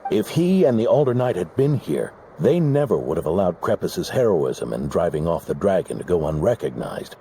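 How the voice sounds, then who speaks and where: Grizzled old knight, deep and gravelly, grave storyteller. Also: male voice, sing-song, warm tone, a little hiss or hum. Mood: regretful. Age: older. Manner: measured, storytelling gravity. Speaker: game character.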